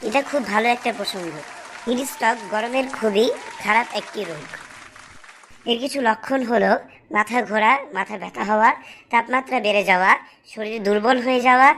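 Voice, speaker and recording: A woman's voice: fairly high pitch, fairly bright tone. A woman playing an earnest schoolteacher in a cartoon, explaining and advising children. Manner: instructive, explanatory. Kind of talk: didactic cartoon dialogue.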